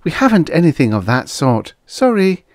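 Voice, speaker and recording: Man voicing a high-pitched, unhappy young waitress. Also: expressive, moderate pace, darkish tone, dry recording, clean studio sound. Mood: concerned. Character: young woman, unhappy, sympathetic, guarded waitress. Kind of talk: dramatised science fiction storytelling.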